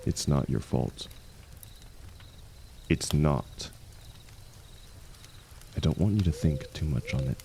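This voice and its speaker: A man playing an aging, wounded warrior, the hero's loyal and gentle shield, speaking softly and haltingly in an intimate audio roleplay. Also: very deep voice, lively intonation, creaky, a little hiss or hum, heavily acted. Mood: resigned, earnest. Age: older. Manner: soft, halting, confiding, reassuring.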